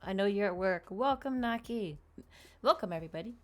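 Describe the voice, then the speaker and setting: A woman's voice: medium pitch, lively intonation, fairly quick. A woman hosting a livestream, chatting casually and informally straight to her viewers. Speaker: livestream host, VTuber.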